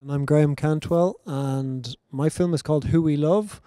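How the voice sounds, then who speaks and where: A man in his forties with a mellow, somewhat high voice, speaking thoughtfully and rambling a little. The sound is close and dry from a handheld mic. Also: fairly even intonation, normal speed, dry recording. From Ireland. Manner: thoughtful, rambling, many fillers. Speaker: film director. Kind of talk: casual panel conversation. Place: large bright festival lobby.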